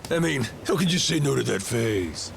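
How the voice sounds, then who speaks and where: An actor voicing a brash, playful and encouraging pirate captain in a video game, with theatrical delivery. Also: male voice, mid-range voice, expressive, moderate pace, creaky, slight background noise.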